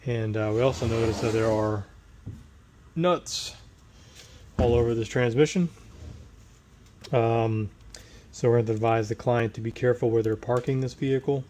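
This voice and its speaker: Hoarse guy in a noisy shop, chatting plainly while he wrenches. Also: medium pitch, moderate pace, long pauses, dark tone. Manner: plain-spoken, working while talking. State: hoarse, recovering from tonsillitis. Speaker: transmission rebuilder. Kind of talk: casual shop walkthrough.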